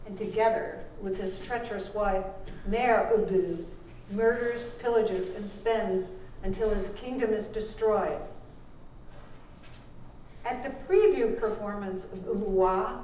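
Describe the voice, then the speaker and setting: A woman author reading her book aloud at a live event, measured and deliberate with pauses. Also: mid-range voice. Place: live book launch performance in a room. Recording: echoey room, distant mic, faint noise.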